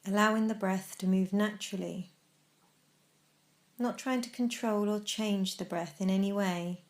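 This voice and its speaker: Female meditation narrator, mid-range pitch, instructional and measured, leaving deliberate space between phrases. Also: steady tone. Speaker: meditation guide.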